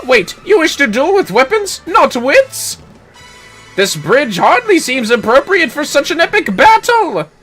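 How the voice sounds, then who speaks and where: A man's voice: extremely high pitch, big pitch swings, measured pace, fairly bright tone, faint music behind, pitched above the performer's own voice, exaggerated voice. A man voicing a pompous, theatrical heroic duelist from a fantasy game, declaring grand challenges. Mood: grandiose challenge.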